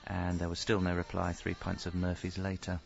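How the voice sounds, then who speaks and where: A rueful, self-pitying middle-aged husband narrates a radio comedy. His deadpan voice is low and creaky, with faint music in a slightly dull recording. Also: a little fast.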